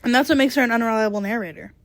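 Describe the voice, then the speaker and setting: A young woman with a slightly phlegmy voice co-hosting a casual book podcast, blunt and quick to swear. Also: a little high. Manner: blunt, swears freely, laughs easily. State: a bit phlegmy. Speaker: podcast co-host. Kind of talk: casual book club chat.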